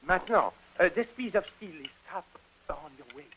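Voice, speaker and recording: A man's voice: sits high, expressive, normal speed, a bit bright, slightly rough, heavily acted. A man playing an obsequious, fussy Parisian dancing master with a French accent in an old radio drama, courteous and hesitant. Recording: noisy, dull old radio recording.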